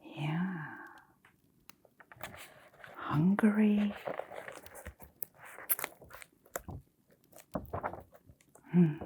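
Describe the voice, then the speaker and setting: A woman playing a kindly, mysterious keeper of a magical old bookshop in a hushed, soothing ASMR storytelling roleplay, pausing often. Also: lowish voice, slight background noise. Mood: gentle, musing. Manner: slow, hushed, soothing, with long pauses.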